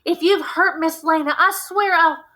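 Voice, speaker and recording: A woman's voice: very high voice, steady tone, unhurried, pushed and bright, pitched above the performer's own voice, exaggerated voice. A woman voicing a frightened mother in a read-aloud novel, pleading to keep the peace. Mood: pleading, scared. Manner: pleading, scared. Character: frightened mother pleading to calm a violent husband.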